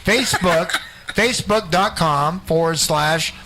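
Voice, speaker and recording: A man's voice: a little high, unhurried, background noise. A man in his late fifties hosting a radio show with blunt, teasing, sweary casual banter. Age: late 50s. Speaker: radio host.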